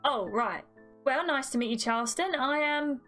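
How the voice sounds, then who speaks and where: A woman's voice: sits high, animated, normal speed, a bit bright, soft background music. A woman voicing a simple, earnest young man in a story game, blunt and literal-minded. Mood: earnest confusion.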